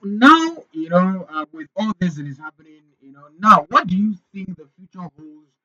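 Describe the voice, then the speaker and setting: A man hosting a conversational radio interview, agreeing often, echoing phrases and putting questions in a halting way. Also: high voice, sing-song, pushed and bright, lo-fi sound. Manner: agreeable, echoes the guest's words, halting questions. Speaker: radio host.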